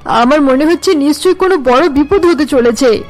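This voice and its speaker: A woman puts on an anxious older cartoon mother. The voice is very high, urgent and pleading, set over light music. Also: normal speed, close to an everyday voice. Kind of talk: cartoon drama dialogue.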